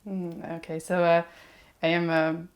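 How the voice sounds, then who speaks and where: A woman whose native language is Serbian, explaining machine learning as a podcast guest. Her voice sits low with a gravelly edge. She talks patiently and hesitates often while she finds the next word. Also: monotone, measured pace. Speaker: mechatronics engineer. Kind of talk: podcast interview.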